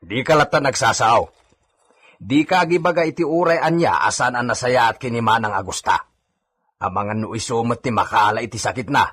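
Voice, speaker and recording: A man's voice: medium pitch, fairly quick, fairly bright tone, husky. A man playing a cautious household servant in a radio drama, warning and admonishing with an Ilocano accent. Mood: scolding, warning. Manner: admonishing, wary.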